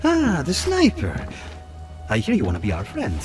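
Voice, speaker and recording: A man's voice: mid-range voice, huge pitch swings, moderate pace, soft background music. A man acting a sardonic, knowing wartime informant in a video game, with a teasing manner. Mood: wry, knowing.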